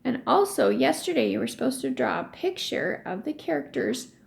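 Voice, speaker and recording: A woman's voice: high-pitched, sing-song, normal speed, creaky. A woman teacher reading a story aloud to children, stopping to explain and ask questions. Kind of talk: read-aloud lesson for children.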